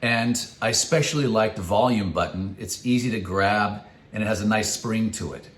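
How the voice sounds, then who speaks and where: A man presenting a product review as scripted narration, with measured, clearly phrased delivery. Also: lowish voice. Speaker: product reviewer and channel host.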